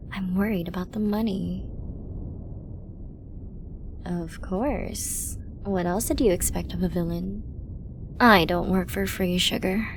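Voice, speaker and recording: A woman playing a flirty, dominant villain in an ASMR roleplay, teasing and possessive, often slipping into an intimate whisper. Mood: wry, businesslike. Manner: slow, teasing, breathy lapses into whispering. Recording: noisy with low rumble.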